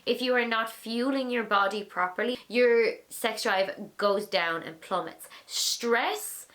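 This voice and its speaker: Chatty animated Irish woman, slightly high. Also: takes it slowly. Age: 20s. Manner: animated, acts out little voices, frank and chatty. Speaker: vlogger, host of an advice series. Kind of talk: casual chat, answering viewer questions.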